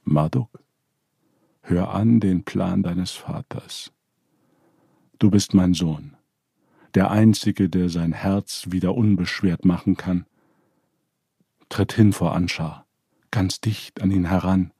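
A man's voice: sits low, steady tone, takes it slowly, gravelly, long pauses, warm tone, husky, no echo, studio quality, heavily acted. A man reading as Ea, a wise father god. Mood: counseling. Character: Ea, wise male father god, calm counselor.

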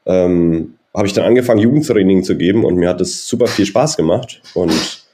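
A man in his thirties with a very low, mellow voice. He talks in a friendly, rambling way and leaves lots of fillers and pauses. He is a golf coach being interviewed about his career. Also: slight background noise. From Germany (Nuremberg). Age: 30s. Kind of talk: interview answers, personal background.

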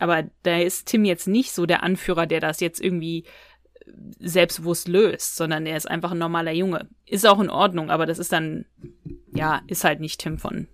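A woman's voice: lowish voice, fairly bright tone, no room sound, clean studio sound. A woman co-hosting a podcast, giving her opinions in a casual, conversational review chat. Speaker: podcast co-host. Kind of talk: casual review chat about audio dramas.

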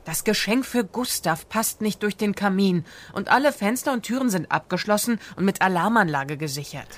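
A woman's voice: mid-range voice, animated, normal speed, lightly acted. A woman playing Santa's harried, pragmatic and grumbling wife in a fairy-tale radio play. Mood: exasperated.

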